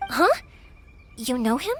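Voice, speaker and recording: A woman's voice: sits high, very animated, slow pace, a bit bright, exaggerated voice. An actress playing a friendly, earnest young woman who makes fireworks in a video game, speaking expressively. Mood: hesitant. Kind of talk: video game English dub dialogue. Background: background music.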